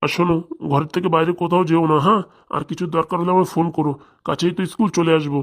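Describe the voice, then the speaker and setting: Rapid-talking man as a fretful head schoolmaster. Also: a little high, fairly even intonation, lightly acted. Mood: caring. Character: caring, busy married head schoolmaster, mildly bossy. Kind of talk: comedy cartoon dubbing.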